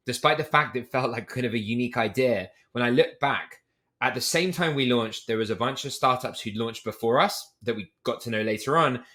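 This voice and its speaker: A man's voice: medium pitch, bright, forceful tone. A man with a British accent, a startup chief talking candidly as a podcast guest, explaining at length with many fillers. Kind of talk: casual interview talk.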